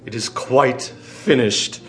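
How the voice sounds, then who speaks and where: An actor playing a gentle, devoted painter in a radio drama, earnest and reproachful. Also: male voice, mid-range voice, slow.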